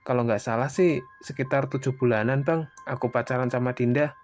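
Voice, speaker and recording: Casting: man voicing grateful young working guy, medium pitch, casual yet respectful, cartoon dialogue with music behind. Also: steady tone, fairly quick, darkish tone, lightly acted. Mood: earnest, cheerful. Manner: casual, deferential, earnest. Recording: voice over prominent background music.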